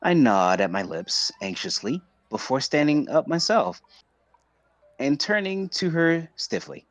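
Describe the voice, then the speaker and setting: A man's voice: on the high side, big pitch swings, unhurried. A man reading visual novel narration aloud as a streamer, occasionally stumbling and rereading words.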